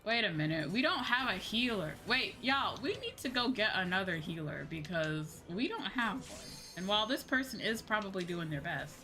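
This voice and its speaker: A woman's voice: mid-range voice, animated, normal speed, hardly any pauses, pushed and bright. A woman livestreaming a game, chatting casually and thinking aloud in a rambling, informal way. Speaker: livestreamer playing an online game. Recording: music behind the voice. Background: game music.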